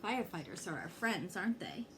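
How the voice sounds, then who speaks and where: A woman reading a children's story aloud in an expressive way, pausing to check in with young listeners. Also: on the low side, background noise. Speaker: fire inspector reading a children's book aloud.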